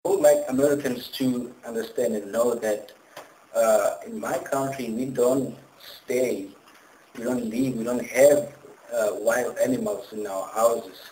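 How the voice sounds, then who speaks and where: A man's voice: medium pitch, fairly even intonation, a little slow, dark tone. A man from Egypt, an international student speaking in a casual interview testimonial. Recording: echoey room with clear noise.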